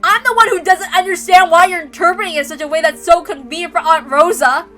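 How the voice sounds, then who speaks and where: A woman's voice: extremely high pitch, fast, a bit bright, faint music behind, pitched above the performer's own voice. A woman voicing an argumentative, sceptical girl who questions insistently and sounds exasperated, in a dramatic story reading. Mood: accusing, exasperated. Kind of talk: dramatic reading of a visual novel.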